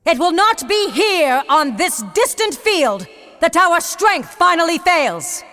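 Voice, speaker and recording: An actress playing a grave, proud female High Elf leader in a fantasy game, with stately, measured delivery. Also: big pitch swings, bright, forceful tone, heavily acted. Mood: rousing, defiant. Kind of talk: fantasy game cutscene acting.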